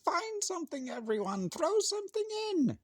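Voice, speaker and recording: A friendly, excitable storybook witch. Her voice is shrill and pitched way up high, swooping eagerly through a children's tale. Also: normal speed, no room sound, studio quality, heavily acted. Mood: cheerful, spellcasting.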